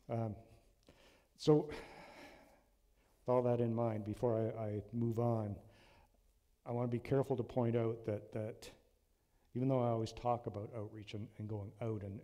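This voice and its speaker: A man in his sixties giving an earnest, measured sermon from a church lectern, with frequent ums and restarts. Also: fairly low pitch, mellow. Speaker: lay preacher. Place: church sanctuary. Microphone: lectern mic. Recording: long hall reverb in a church.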